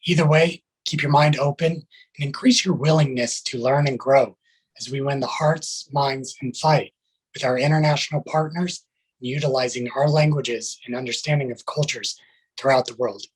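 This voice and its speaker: A man's voice: mid-range voice, steady tone, normal speed, slightly rough, poor audio. A man speaking as a military sergeant and interview guest, giving prepared answers in a formal, careful, earnest manner.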